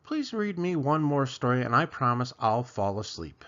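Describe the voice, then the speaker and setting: A man voicing an eager, excitable little girl chicken from a storybook, who bursts out with urgent warnings. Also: mid-range voice, moderate pace, highs cut off. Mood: pleading.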